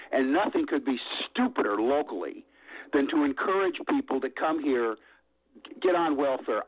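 An older man calling in to a radio talk show, blunt and opinionated, with halting restarts. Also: medium pitch, husky. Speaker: caller. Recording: tinny phone line.

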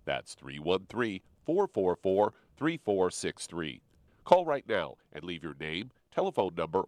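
Lively low-voiced radio announcer. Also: male voice, dry recording. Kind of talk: scripted ad read.

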